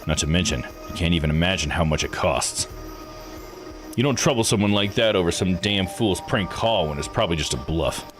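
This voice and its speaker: A man voicing a gruff, folksy old island boss in a visual novel, blunt and dismissive. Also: low voice, lively intonation, brisk, fairly bright tone, soft background music. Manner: blunt, slangy, domineering. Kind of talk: visual novel read-aloud voice acting.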